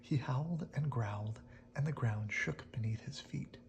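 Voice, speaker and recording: A storyteller reading a picture book aloud in a hushed bedtime style, often dropping to a whisper. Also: medium pitch, normal speed.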